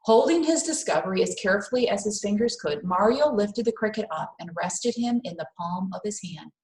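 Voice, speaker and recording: Female storyteller, mid pitch, reading children's fiction aloud with careful expression and pauses; echoey room recording. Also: lively intonation, a little mellow. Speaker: read-aloud host. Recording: roomy, echoing indoor sound.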